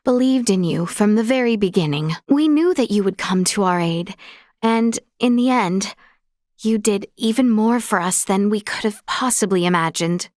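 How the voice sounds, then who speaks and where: A young woman playing a courteous, earnest princess in a video game, speaking in formal, archaic courtly phrases with gracious, measured manner. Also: medium pitch, no echo, clean studio sound, close to an everyday voice. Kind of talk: scripted video game character dialogue.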